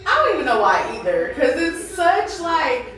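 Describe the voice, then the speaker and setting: An American woman hosting a casual interview, speaking in an informal, expressive, conversational way. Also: high-pitched, normal speed. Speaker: interviewer, host. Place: echoey room at a party. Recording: echoey room, distant mic, crowd chatter.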